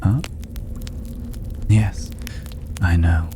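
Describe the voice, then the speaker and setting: Man playing a very deep-voiced, languid mysterious stranger. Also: fairly even intonation. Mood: sly, sardonic. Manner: languid, teasing, condescending, with drawn-out pauses. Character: mysterious male stranger dwelling in a dragon's hoard, mocking, worldly. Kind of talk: ASMR fantasy roleplay audio drama. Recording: clearly noisy ambient background.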